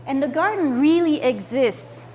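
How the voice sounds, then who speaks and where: An older woman from France talks to children in simple, patient sentences, stopping often to check that they can follow along. Her voice sits high for a woman, and a faint hum of room noise stays underneath it. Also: lively intonation, a little slow, a little mellow. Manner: simple, patient explaining to kids. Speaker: children's author. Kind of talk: library talk to children with slides.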